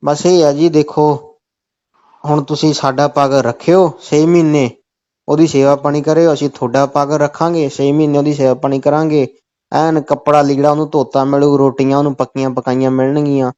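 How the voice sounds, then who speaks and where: A Punjabi-accented man with a fairly high voice is making a comic phone call. He is insistent and keeps returning to stock phrases. The recording is dull and lo-fi. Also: level tone, fairly quick, warm tone.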